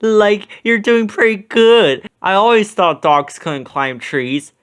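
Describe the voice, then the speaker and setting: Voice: medium pitch, slow, noisy background, voice pushed up, natural voice. A reader voicing a cowardly, goofy young male hippie slacker with cartoonish exclamations in a storybook read-aloud. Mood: playful. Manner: cartoonish exclamations, sprinkles speech with like.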